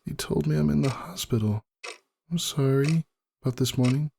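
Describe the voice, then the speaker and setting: Man voicing a frail, high-pitched sick female pony. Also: normal speed, a little mellow, a little hiss or hum, close to an everyday voice. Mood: weak, tender. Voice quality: weak, raspy.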